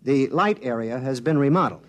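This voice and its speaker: A man's voice: medium pitch, lively intonation, fairly quick, lightly acted. An actor in a 1950s radio drama playing an earnest male linguist briefing reporters about contact with aliens. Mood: explaining.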